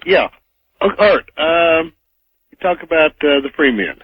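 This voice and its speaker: A man's voice: a little high, animated, husky, no room sound. Hesitant male caller on dull phone line. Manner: hesitant, halting. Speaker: phone caller to a radio show.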